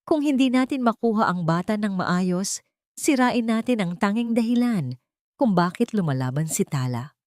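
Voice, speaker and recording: A woman's voice: mid-range voice, lively intonation, normal speed, a little mellow, no room sound, studio quality, voice pushed up, close to an everyday voice. A woman narrator voicing a hateful, ruthless villain giving orders in an audio drama. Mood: venomous.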